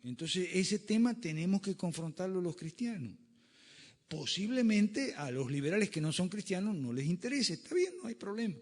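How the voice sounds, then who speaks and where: A man's voice: sits high, lively intonation, moderate pace. A man giving a classroom lecture with slides, emphatic and fond of rhetorical questions. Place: lecture room. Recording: reverberant lecture hall.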